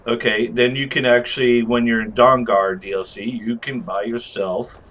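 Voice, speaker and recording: A man's voice: mid-range voice, steady tone, moderate pace, fairly bright tone. A man giving casual, unscripted game commentary as a review host, rambling along in slang with chuckles.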